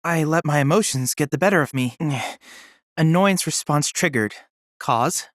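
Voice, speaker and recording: An actor voicing an earnest, excitable young android boy researcher in a video game, with precise, formal, polite diction. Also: mid-range voice, measured pace, no echo, studio quality, natural voice. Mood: worried, then clipped, analytical. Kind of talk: video game character voice lines.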